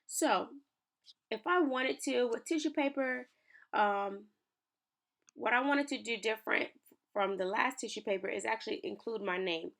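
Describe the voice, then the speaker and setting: A woman's voice: on the high side, fairly bright tone. A young woman, chatting upbeat with viewers as she walks through a design tutorial on her screen. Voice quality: raspy. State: raspy from early morning. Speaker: small business owner and lifestyle creator. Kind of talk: screen-share tutorial walkthrough.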